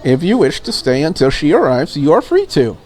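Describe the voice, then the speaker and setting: A woman voicing a polite, deferential and curious maid in a visual novel, in a courteous, measured manner. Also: sits low, big pitch swings, dark tone. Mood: polite, apologetic. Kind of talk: visual novel character read aloud.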